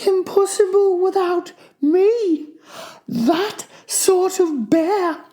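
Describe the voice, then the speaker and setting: A man's voice: extremely high pitch, extremely slow, mellow, voice pushed up, full character voice. A man voicing a naive, friendly little bear with an innocent, amiable manner in a dramatized storybook. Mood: proud. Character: naive, friendly, slow-witted small male bear.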